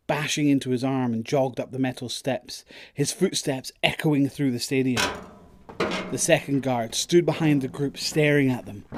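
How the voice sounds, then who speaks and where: A man's voice: medium pitch, darkish tone, no room sound, clean studio sound. A man narrating a scripted audio drama story.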